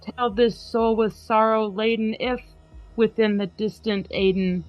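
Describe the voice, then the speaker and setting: A woman hosting a podcast, giving a slow, emphatic dramatic reading of a poem over a video call. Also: on the high side, steady tone, muffled recording, faint music behind. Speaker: podcast host.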